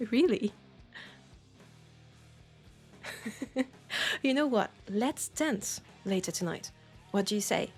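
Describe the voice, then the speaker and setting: A woman acting a playful, nostalgic old friend in an intimate audio roleplay. She has a French accent and a mid-pitched voice. She talks slowly and teasingly, as in a casual chat, with faint guitar music behind her. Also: animated, husky. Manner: unhurried, teasing, breaking into soft laughs.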